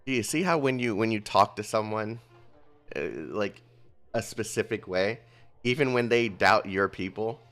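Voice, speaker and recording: A young man streaming a game, chatting casually with his viewers in an excitable, rambling way. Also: fairly low pitch, moderate pace, faint music behind. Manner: excitable, rambling, talks to chat. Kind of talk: casual livestream commentary.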